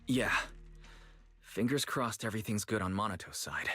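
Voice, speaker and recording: A man voicing a calm, dependable and friendly young adventurer in a video game. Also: lowish voice, normal speed.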